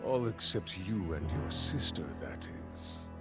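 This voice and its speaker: An actor playing a scheming, falsely courteous desert king in a game cutscene, speaking slowly and deeply. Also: sing-song. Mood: silky menace. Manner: slow, deep, falsely courteous. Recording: game cutscene over music.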